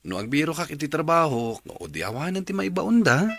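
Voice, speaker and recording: A man with an Ilocano Filipino accent playing an irritable, hard-drinking husband in a radio drama, gruff and argumentative. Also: a little high, very animated, brisk, over music. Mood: grumbling.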